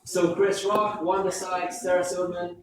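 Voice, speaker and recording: Hoarse guy teaching a class, kinda high voice, echoey room, far off and noisy. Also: completely flat delivery, moderate pace. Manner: repeats points, frequent "okay?" checks. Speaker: university lecturer. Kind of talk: classroom lecture. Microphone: close microphone.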